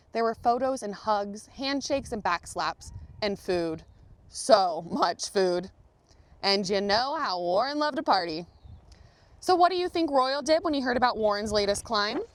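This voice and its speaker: High-voiced woman reading a storybook aloud. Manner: expressive storytelling with dramatic emphasis and pauses. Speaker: storybook reader, museum manager. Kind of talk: read-aloud children's picture book narration.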